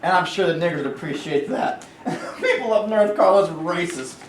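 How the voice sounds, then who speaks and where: A man's voice: high voice, expressive, moderate pace, distant mic, a little hiss or hum. A man playing a bigoted, condescending steel mill executive in a staged reading, recorded in an echoey room with the mic some way off. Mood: menacing. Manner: blustering and condescending, turning oily.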